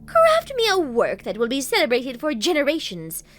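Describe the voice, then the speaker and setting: A woman's voice: high voice, wildly swooping pitch, moderate pace, a bit bright, voice pushed up, full character voice. A woman voicing a proud, elegant unicorn noblewoman with a grand, poised and demanding manner, in a storybook reading. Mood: proud, commanding. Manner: grand, poised, emphatic delivery.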